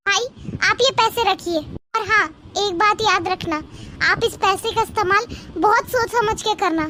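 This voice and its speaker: A woman voicing a selfless young village sister, trusting, hopeful and persuasive, in an animated moral story. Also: very high-pitched, unhurried, a little hiss or hum, full character voice. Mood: hopeful, earnest. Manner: earnest, persuasive.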